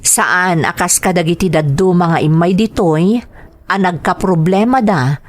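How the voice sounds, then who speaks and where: A woman's voice: fairly low pitch, measured pace, natural voice. Woman as a plaintive betrayed wife telling her story. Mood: sorrowful. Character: betrayed wife abroad, sorrowfully recounting her life. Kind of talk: first-person dramatized storytelling.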